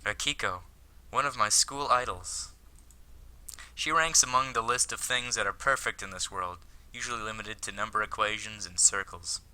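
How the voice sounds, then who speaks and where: Man playing a bright-voiced, earnest lovestruck high school boy. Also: moderate pace, low-quality recording. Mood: wistful, dramatic. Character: earnest, lovestruck, self-conscious male high school student in anime visual novel.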